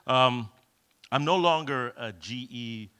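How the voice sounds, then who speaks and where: Bright-voiced Kenyan-born man telling stories. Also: medium pitch, slow pace, smooth. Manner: humorous, self-deprecating storytelling with many fillers. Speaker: keynote speaker. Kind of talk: conference talk with anecdotes. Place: event hall.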